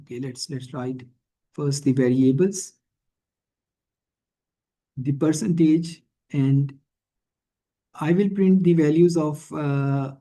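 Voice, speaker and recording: A man teaching in a screencast tutorial, thinking aloud haltingly and often checking in with a questioning tag. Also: fairly high pitch, dark tone, low-quality recording. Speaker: instructor. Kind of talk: screencast programming and statistics tutorial.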